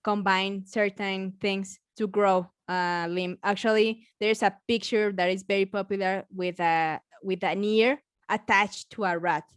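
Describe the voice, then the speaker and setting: Mexican-accented woman with a smooth voice. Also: mid-range voice, fairly even intonation, no echo, studio quality. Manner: halting, many restarts and fillers, laughs often. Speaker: biologist guest and science communicator. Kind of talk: casual podcast conversation.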